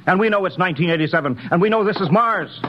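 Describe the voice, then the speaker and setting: Commanding, skeptical space captain, fairly high urgent voice, dull old radio recording. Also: male voice, expressive, racing, very noisy. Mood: baffled, insistent. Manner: urgent, insistent, authoritative. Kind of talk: 1950s radio drama acting.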